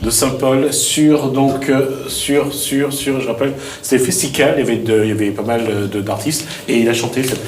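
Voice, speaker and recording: Male co-host, mid-pitched, halting and repeating himself, echoey studio with faint hiss. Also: steady tone, darkish tone. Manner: hesitant, restarts a lot when explaining. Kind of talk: casual studio chat.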